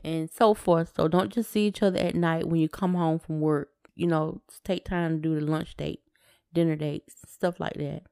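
Podcast co-host: middle-aged woman, plenty of vocal fry, dry sound, casual conversational delivery. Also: deep voice, steady tone, moderate pace, warm tone, no room sound. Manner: colloquial, conversational. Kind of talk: casual chat.

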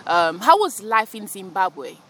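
A woman's voice: medium pitch, sing-song, a little slow, a bit bright, slight background noise. Chatty woman hosting a podcast outdoors. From France. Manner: chatty, laughs often, many fillers. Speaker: podcast host. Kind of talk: casual podcast chat. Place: outdoors, sitting on grass.

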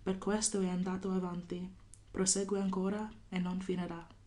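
A woman poet from California, a guest on a podcast, talking thoughtfully with many pauses and fillers and reading her poem. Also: medium pitch, little pitch movement, dark tone. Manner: thoughtful, hesitant with many fillers, laughs often. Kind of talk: podcast interview with poetry reading.

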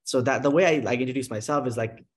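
A man's voice: medium pitch, quick pace. A man in his twenties from Nepal, a podcast guest telling his story animatedly, with many fillers and restarts. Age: 20s. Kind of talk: casual interview conversation.

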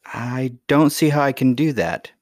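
A man's voice: medium pitch, measured pace, no echo, clean studio sound, pitched above the performer's own voice, natural voice. A man voicing an eager, polite young princess who speaks earnestly in a storybook reading. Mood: doubtful, earnest. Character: eager, polite little princess, curious and easily puzzled. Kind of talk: acted dialogue in a children's fairy tale reading.

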